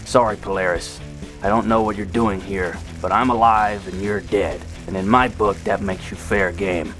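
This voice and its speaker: A cocky, improvising robot fighter in an audio drama , played by a man. He has a fairly low voice and a wry, self-narrating delivery, with clear music behind him. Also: fairly even intonation, moderate pace, fairly bright tone, lightly acted. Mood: wry, defiant. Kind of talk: audio drama acting, inner monologue. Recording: music under the voice.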